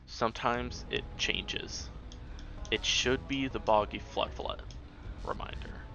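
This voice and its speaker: A man's voice: mid-range voice, fairly even intonation, takes it slowly. A man giving casual live commentary as he speedruns a video game, often muttering asides to himself. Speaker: speedrunner streamer. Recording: loud game music behind voice. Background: loud video game music.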